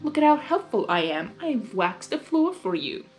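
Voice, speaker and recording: A woman voicing a mocking, pompous male chaos creature, taunting in a drawn-out theatrical way. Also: fairly high pitch, big pitch swings, darkish tone, soft background music. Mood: smug mockery.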